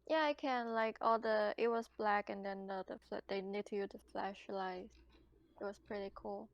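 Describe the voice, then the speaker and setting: A woman's voice: medium pitch, fairly even intonation, normal speed, creaky, no echo, highs cut off. Casual female tabletop player, dry sound. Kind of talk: casual chat.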